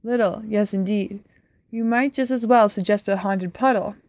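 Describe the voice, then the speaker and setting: A woman's voice: medium pitch, moderate pace, a little mellow, no echo, close to an everyday voice. Uncle Clement, genial and firm, read by a woman. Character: genial but firm middle-aged uncle. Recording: slightly dull, dry recording.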